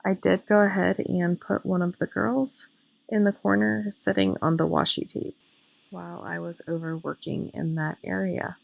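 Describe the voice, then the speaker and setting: Hoarse woman with a gravelly low voice. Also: no echo, low-quality recording. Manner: chatty, self-critical, explains each step. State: losing her voice, hoarse. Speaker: planner vlogger. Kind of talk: casual voice-over narration of a craft video.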